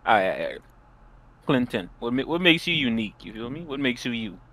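A man's voice: mid-range voice, big pitch swings, a little fast, fairly bright tone. A young man hosting a casual speed-date game on a video call, teasing and repeating his fillers. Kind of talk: casual chat on a group call.

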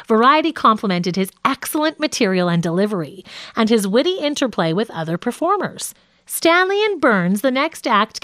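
A woman narrating a nonfiction audiobook from a script in a measured, articulate way. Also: fairly high pitch, a bit bright, no echo, studio quality.

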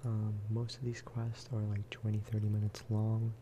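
A man giving a relaxed, casual ASMR gameplay commentary in a soft, breathy whisper, close to the microphone. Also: lowish voice, normal speed, noisy background. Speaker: video game streamer and host.

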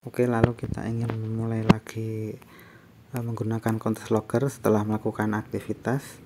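A man gives a casual step-by-step software tutorial, explaining in a halting way. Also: on the low side, completely flat delivery. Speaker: tutorial presenter. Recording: faint background noise.

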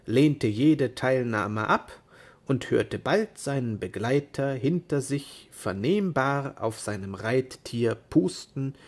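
A man reading a storybook tale as narrator, in an expressive, deliberate manner. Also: mid-range voice.

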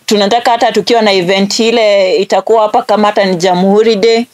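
A woman's voice: medium pitch, fairly even intonation, fast, a little mellow. A woman in her twenties with a Kenyan accent, hosting a phone call in a casual, chatty style. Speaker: prank call show host. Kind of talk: casual phone chat mixing Swahili and English.

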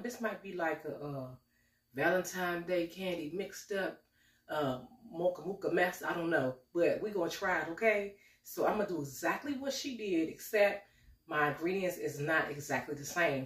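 A woman in her forties with a slight cold, making a casual, chatty cooking vlog in her home kitchen and calling her viewers y'all. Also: lowish voice. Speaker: cooking vlogger. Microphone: camera a few steps away. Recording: roomy kitchen echo, mic at a distance.

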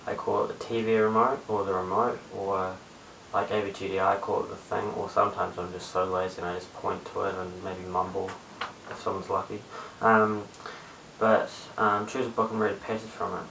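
A young man with a New Zealand accent chats casually in a low-pitched, hesitant mumble. His voice is low-key and stays very even. It is picked up by a distant webcam microphone with some hiss. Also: brisk, vocal fry, reverberant. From Christchurch, New Zealand. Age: young adult, late teens. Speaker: amateur video blogger. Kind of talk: casual chat to camera. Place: small bare room indoors. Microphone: webcam at a short distance.

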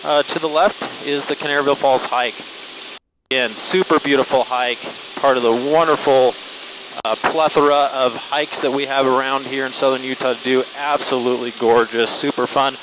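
A man's voice: medium pitch, steady tone, normal speed. A man working as a helicopter flight instructor, explaining casually and with enthusiasm as he flies, reassuring in manner, speaking into a headset mic. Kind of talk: casual explanatory narration while flying. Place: small helicopter cockpit in flight. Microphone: aviation helmet headset mic. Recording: loud helicopter cabin noise, headset audio. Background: helicopter engine and rotor noise.

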